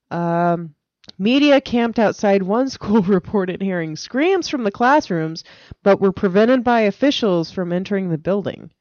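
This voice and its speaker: A woman speaks as a podcast co-host, moving between reading aloud and casual banter. Her manner is matter-of-fact and questioning, quick to correct. The audio is dry and close, a little dull. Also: mid-range voice, darkish tone, no room sound. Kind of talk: casual banter and reading odd news items.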